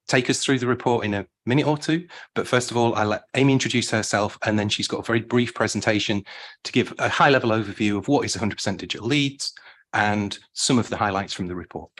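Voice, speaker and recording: A man's voice: lowish voice, fairly quick, fairly bright tone. A man who leads a council programme, introducing a committee item with a hesitant start and a few fillers. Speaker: programme lead.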